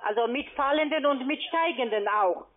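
A woman with a foreign, non-native German accent giving a halting telephone sales pitch, repeating and rephrasing as she explains. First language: not German. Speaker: call-centre sales agent pitching trading. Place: phone call. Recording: tinny, narrow-band phone line.

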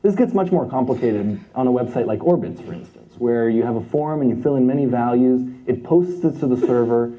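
A young man at mid pitch gives a conference demo, walking the audience through each step and checking in with "okay?" along the way. The lapel-mic recording sounds a little dull and lo-fi. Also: expressive, a little fast, few pauses, dark tone. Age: young adult. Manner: explanatory, often checks in with "okay?" and "right?". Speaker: conference speaker. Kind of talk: technical conference talk with live demo. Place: large conference hall stage with projector.

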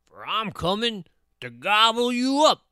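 A storyteller acts out a mean, hungry storybook troll. The voice is loud and raised high, roaring out drawn-out threats in a very dry recording. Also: sing-song, clean tone, no echo. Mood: menacing.

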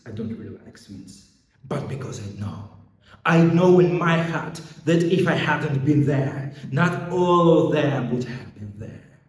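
A man acting a vengeful, self-righteous police interrogator in an intense theatrical monologue, shifting between hushed menace and outbursts. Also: on the low side. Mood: quiet self-righteous. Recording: echoey hall, long reverb, background noise.

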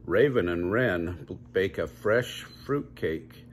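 An older man reading a children's story aloud at home in measured, drawn-out storybook phrasing. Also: low-pitched, expressive, a little hiss or hum. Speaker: grandpa reading a picture book aloud. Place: small home room, indoors.

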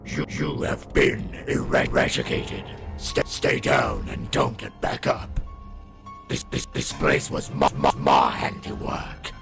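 A man voicing a menacing, bitter animatronic rabbit that stutters in a gravelly growl for a horror game character reel. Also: extremely low pitch, sing-song, takes it slowly, music in the background, full character voice. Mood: taunting, menacing.

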